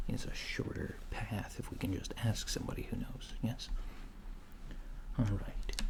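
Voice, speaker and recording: Soft-spoken low-voiced man, deadpan alien roleplay. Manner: deliberate, halting, quizzical, deadpan. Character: curious, puzzled alien interviewer studying Earth. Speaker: ASMR roleplay performer. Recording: clear background noise, keyboard typing. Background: computer keyboard typing.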